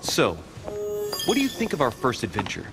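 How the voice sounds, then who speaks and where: An actor playing a persistent, earnest young investigator from a secret society in a video game, coaxing and insistent. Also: male voice, medium pitch, huge pitch swings, moderate pace, creaky. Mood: warm. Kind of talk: dramatic video game dialogue. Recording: music under the voice.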